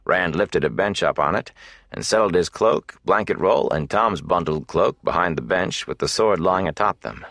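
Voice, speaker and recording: Deep, gravelly male audiobook narrator. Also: expressive, normal speed, a bit bright, no echo, clean studio sound. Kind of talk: scripted dramatic fantasy narration.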